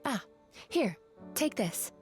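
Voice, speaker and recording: A woman playing a courteous, gentle young psychologist in a video game, speaking politely and helpfully. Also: on the high side, very animated, takes it slowly. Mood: friendly. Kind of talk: video game character acting. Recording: game music behind voice.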